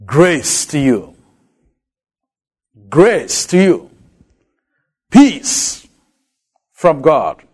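A man with an African accent preaches slowly and deliberately. His voice sits high and swings widely. He leans on repeated words for emphasis. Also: sing-song, breathy edge. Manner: emphatic, repeats key words, slows on phrases. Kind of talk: expository sermon.

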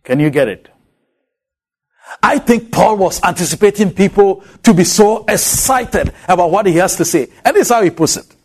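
A man's voice: high-pitched, animated, moderate pace, slightly rough. A man with an African accent preaching an expository sermon, emphatic and repeating key words for weight.

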